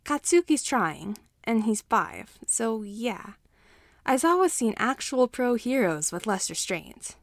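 A woman's voice: a little high, big pitch swings, takes it slowly, no echo, studio quality. A woman reading a story aloud as a scripted audiobook-style narration.

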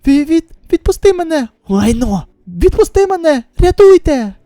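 Woman doing a high-strung young manga character, pitched way up, strained and emotional. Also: very animated, normal speed, warm tone, dry recording, clean studio sound. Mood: panicked. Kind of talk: manga dub acting.

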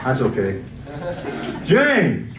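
A man's voice: mid-range voice, huge pitch swings, very slow, a loud crowd. An older man, a pastor, emceeing a church graduation ceremony with playful, teasing questions. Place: church stage with audience. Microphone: handheld mic, far camera. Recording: echoey hall from far off, loud noise.

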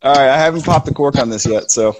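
A man's voice: medium pitch, fast, slight background noise. A man in his thirties, co-host of a whiskey review show, chatting casually and enthusiastically about a bourbon on a phone video call from outdoors. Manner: relaxed, enthusiastic about flavors. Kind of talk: casual tasting chat. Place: outdoors in a backyard.

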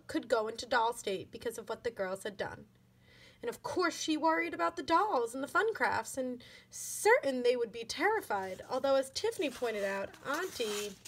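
A woman narrates a children's story as a teacher would to pupils. Her voice is fairly high with animated swings in pitch, and her delivery is expressive and deliberate. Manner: expressive, deliberate read-aloud. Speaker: elementary school teacher reading aloud.

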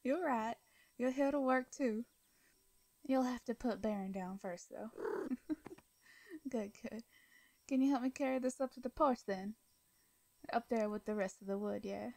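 A woman playing a gentle Southern farm woman, higher-pitched. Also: measured pace, creaky, pauses often, no echo. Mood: warm, easygoing. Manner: soft, hesitant, folksy endearments. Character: caring young female farmer, gentle, folksy, protective. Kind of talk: intimate roleplay audio drama acting.

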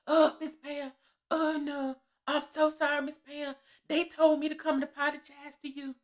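A woman voicing a man caught breaking into a truck, begging and apologizing in a whiny, higher voice. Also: little pitch movement, moderate pace, lightly acted. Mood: pleading.

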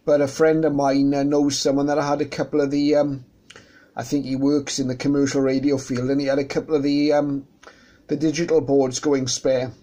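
A man's voice: mid-range voice, flat delivery, moderate pace, dark tone. A man talking casually as an amateur radio operator, explaining things in a conversational way. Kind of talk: casual radio contact chat. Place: indoors beside radio equipment.

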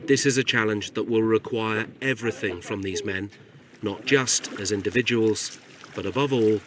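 A man reading a scripted news report voice-over in a measured, clear way. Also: lowish voice, a crowd in the background.

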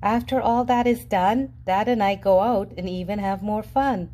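A woman reading a children's picture book aloud in a lively, sing-song storybook voice with playful jumps in pitch. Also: normal speed. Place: indoors at a table, reading an open book. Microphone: camera above the book, reader unseen.

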